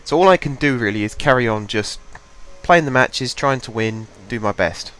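A young man speaks close to a desk microphone, talking through his game in an easy, rambling way. He has a cold, so his voice sounds a bit stuffy and congested. His pitch sits in a middle range, and his delivery is loose and full of fillers. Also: animated, moderate pace, a bit bright. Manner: chatty, rambling, thinks aloud with fillers. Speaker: gaming channel host. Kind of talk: casual game commentary. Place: home desk.

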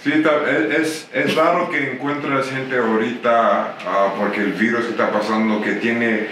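A man's voice: medium pitch, steady tone, unhurried, fairly bright tone. A man interviewing a guest, hesitant with frequent fillers. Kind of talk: interview questions. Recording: distant roomy off-camera voice with faint noise.

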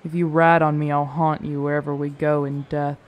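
A woman's voice: deep voice, little pitch movement, a little fast, lo-fi sound, lightly acted. A woman playing a cynical, threatening vampire in an audio roleplay, with a blunt, drawling delivery. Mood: menacing, irritated.

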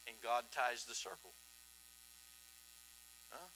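Older male preacher, folksy storyteller, tense bright tone, lapel mic in a church hall. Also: mid-range voice, takes it slowly, a little hiss or hum. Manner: folksy, swings from soft to loud, asks "huh?". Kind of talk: sermon. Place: church auditorium stage.

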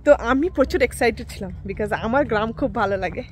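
High-voiced chatty vlogger woman. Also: a little fast, a little hiss or hum. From India. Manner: chatty, rambling, with hesitant fillers. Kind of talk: casual vlog narration.

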